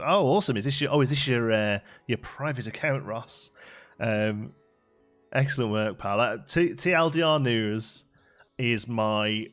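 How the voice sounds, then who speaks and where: A man's voice: medium pitch, fairly bright tone. A man with a West Midlands accent from Staffordshire, chatting casually as he streams a game and answers viewers from his home setup. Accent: West Midlands English. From Staffordshire, England. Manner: chatty and easygoing, frequent restarts and fillers. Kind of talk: casual live-stream chat, reading and answering chat. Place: home streaming room with green screen.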